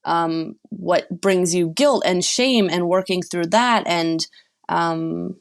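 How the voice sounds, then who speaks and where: A woman's voice: on the low side, slow, gravelly. A woman sex and relationship coach, a podcast guest, talking frankly and laughing easily.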